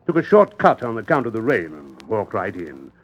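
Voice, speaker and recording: A man's voice: fairly low pitch, huge pitch swings, normal speed, muffled recording. A man playing a hearty, blustering English captain and neighbour in a suspense radio drama, hesitant and exclamatory. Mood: jovial. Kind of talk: old-time suspense radio drama acting.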